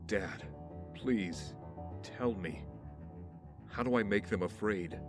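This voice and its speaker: An actor playing a brooding, wounded young man in a grave, halting, intense audio drama monologue. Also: on the low side. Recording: loud dramatic music over the voice.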